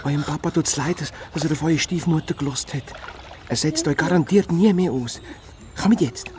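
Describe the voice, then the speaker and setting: A woman's voice: deep voice, fairly quick, a crowd in the background, close to an everyday voice. A woman playing a kindly, protective male hedgehog detective's assistant, earnest and coaxing, in a children's radio play. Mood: coaxing, reassuring.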